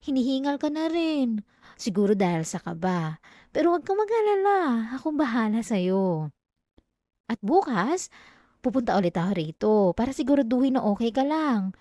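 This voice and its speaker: A woman's voice: fairly high pitch, very animated, normal speed, dark tone, no room sound. A young woman with a Filipino accent voicing an obsessive, possessive female classmate in an intimate, dramatic ASMR roleplay, addressing the listener up close. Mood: doting, possessive. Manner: intimate, pleading turning coldly threatening, dramatic. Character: obsessive yandere female classmate, clingy, wounded, menacingly possessive. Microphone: close microphone, dry sound.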